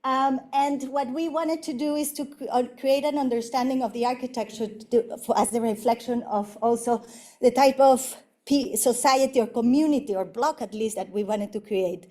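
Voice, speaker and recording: Mexican woman architect giving a talk, bit high voice, mellow and soft, lots of uhs and ums. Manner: halting, many fillers and restarts. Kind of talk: university lecture presenting projects.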